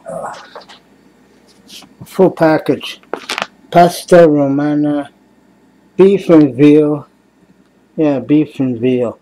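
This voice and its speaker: Fifties man from Canada, tired rambling home vlog on a webcam, swears and sighs, soft hum of air conditioning. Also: fairly high pitch, steady tone, extremely slow, pauses often, low-quality recording. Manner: mumbling, sighing, swears often, trails off. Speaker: vlogger. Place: small cluttered room indoors at night. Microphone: webcam close to face.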